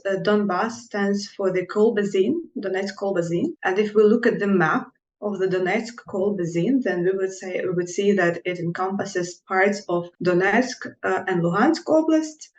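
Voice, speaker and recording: A woman researcher, giving measured academic answers in an interview with frequent pauses. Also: medium pitch, reverberant, rough recording. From Ukraine.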